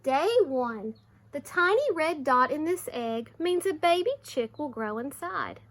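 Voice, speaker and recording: Lady teacher with a high voice doing a kids read-aloud, expressive, explains stuff simply. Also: unhurried.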